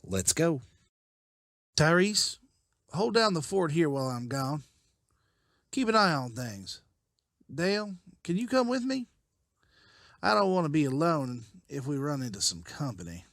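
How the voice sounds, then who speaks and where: A man's voice: fairly high pitch, big pitch swings, unhurried, long pauses, fairly bright tone, no echo, clean studio sound, heavily acted. A man playing a wary, commanding leader of a group of survivors in a comic-book drama, decisive and guarded.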